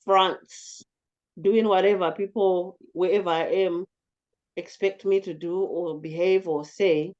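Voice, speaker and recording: A talkative woman with a low, commanding voice, leading a casual group discussion and speaking reflectively. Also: darkish tone, lo-fi sound. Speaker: group facilitator.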